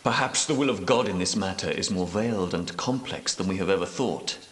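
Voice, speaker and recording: An actor playing the solemn, pious leader of ancient Grail knights in a video game, speaking in a grave, portentous, formal manner. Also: male voice, low voice, fairly bright tone. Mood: brooding. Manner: grave, portentous, measured, preaching. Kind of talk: scripted video game dialogue, archaic formal speech. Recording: echoey hall with long reverb.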